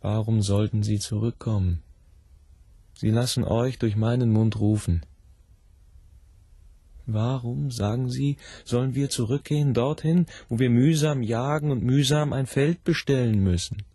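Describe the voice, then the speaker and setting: An actor playing a persuasive, insistent recruiter with a husky, gravelly voice in a radio drama. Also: male voice, mid-range voice, measured pace, warm tone, no room sound. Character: persuasive, insistent recruiter plying a villager with drink.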